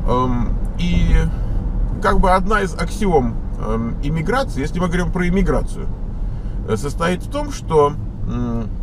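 Мужчина говорит в машине, с шумом дороги. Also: male voice, on the high side. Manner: thinking aloud, many hesitations and fillers. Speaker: vlogger on immigration to America. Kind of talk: casual vlog monologue answering viewer questions. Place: car, driving. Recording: recorded in a moving car with road noise. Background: car and street noise.

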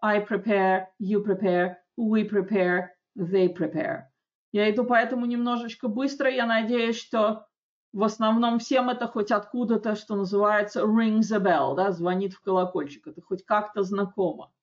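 A woman teaching an English grammar lesson, explaining rules in a chatty way with frequent checks and slow, careful example sentences. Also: medium pitch, steady tone. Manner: reads English examples slowly and distinctly. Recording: dull lo-fi audio.

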